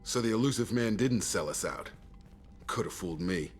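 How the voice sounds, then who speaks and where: An actor playing a gruff, impatient squad soldier in a video game, blunt and doubting. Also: male voice, fairly low pitch, lively intonation, moderate pace, faint music behind, lightly acted. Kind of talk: dramatic video game dialogue.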